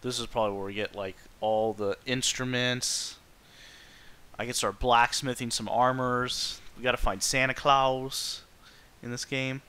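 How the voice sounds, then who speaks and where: A man's voice: medium pitch, flat delivery, measured pace, sharp tone, clean tone. A man giving rambling, informal playthrough commentary as a game streamer, with game music behind him.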